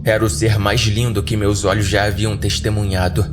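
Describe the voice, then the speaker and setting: Brazilian guy reading a horror story, kinda deep, dramatic narrator, music behind him. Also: level tone, moderate pace. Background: background music.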